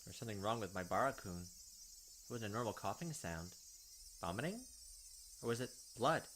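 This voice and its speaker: Low-voiced man reading game text. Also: expressive, moderate pace, a bit bright, slight background noise. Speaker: let's play host. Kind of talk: reading a visual novel aloud. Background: game music.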